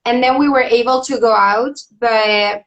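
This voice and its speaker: A woman's voice: fairly high pitch, fairly even intonation, unhurried, low-quality recording. Bubbly young woman. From Bolivia. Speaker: pageant contestant. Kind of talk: casual video call chat.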